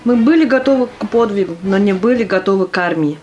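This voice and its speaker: A woman's voice: mid-range voice, fast, a little mellow, highs cut off, close to an everyday voice. A woman in an audio play acting a tiny, clumsy and eager young female private. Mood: rueful recollection. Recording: music under the voice.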